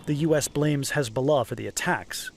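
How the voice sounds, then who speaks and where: A man's voice: mid-range voice, normal speed, vocal fry, very noisy. A man narrating a scripted news explainer as a correspondent.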